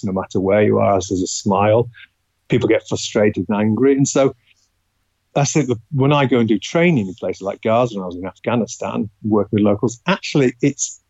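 A man's voice: on the low side, darkish tone, husky, no echo, muffled recording. A man with a Yorkshire accent, a guest on an interview show, talking about his life in a reflective way with many hesitations. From England, Leeds. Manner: reflective, frequent filler hesitations. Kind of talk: casual interview talk.

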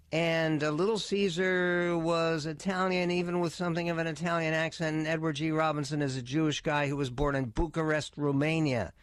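A man's voice: a little high, no echo, studio quality. Older man hosting a talk radio show. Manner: conversational, frequent uh fillers and restarts, wry asides. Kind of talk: radio commentary, partly reading aloud.

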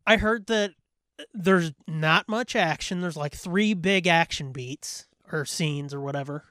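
A man's voice: a little high, unhurried, pushed and bright, dry recording, clean studio sound. A man co-hosting a casual film podcast, giving blunt, opinionated takes with plenty of swearing. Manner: blunt, freely profane. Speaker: podcast co-host.

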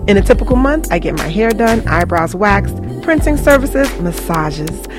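A woman's voice: medium pitch, expressive, moderate pace. A woman giving a scripted first-person testimonial in a radio advertisement. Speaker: ad presenter. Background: music.

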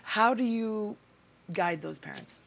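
A woman hosting an interview, speaking in a friendly, brisk way. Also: mid-range voice, expressive, gravelly. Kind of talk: conversational interview.

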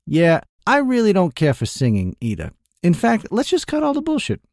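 Boltak, a gruff merchant as a man puts him on. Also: sits high, wildly swooping pitch, normal speed, darkish tone, no room sound, studio quality, pitched above the performer's own voice, heavily acted. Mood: gruff, incredulous. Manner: blunt, incredulous, crude. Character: gruff skeptical middle-aged male shopkeeper.

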